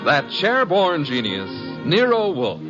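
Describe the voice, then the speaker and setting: A man's voice: a little high, wildly swooping pitch, slow pace. A man announcing in a dramatic old-time radio style. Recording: muffled vintage radio, music behind.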